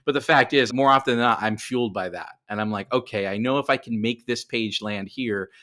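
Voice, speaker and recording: A man's voice: medium pitch, brisk, pushed and bright. A middle-aged man talking casually as a writer being interviewed, animatedly explaining things and often repeating words as he goes. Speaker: writer and podcast guest.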